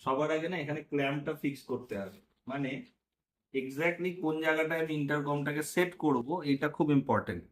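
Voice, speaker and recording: A man's voice: a little high, brisk. A man narrating a hands-on motorcycle vlog tutorial casually, talking viewers through each step in an informal way. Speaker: motorcycle vlogger.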